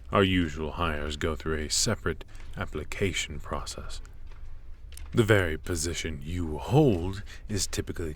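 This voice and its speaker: A man voicing a cold, strict royal professor of magic, stern and clipped, with dry, condescending remarks in an ASMR roleplay. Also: extremely low pitch.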